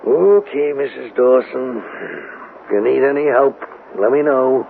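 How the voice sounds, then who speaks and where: An actor playing a skeptical, world-weary American police lieutenant in a 1970s radio drama, probing in a matter-of-fact way. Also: male voice, medium pitch, fairly even intonation, measured pace, creaky. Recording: narrow, hissy old radio recording.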